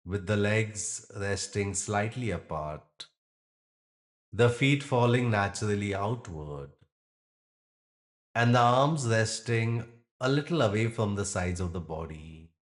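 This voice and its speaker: Deep, very slow male meditation guide. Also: animated. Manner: gentle, unhurried instruction with long pauses. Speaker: yoga nidra teacher guiding a practice.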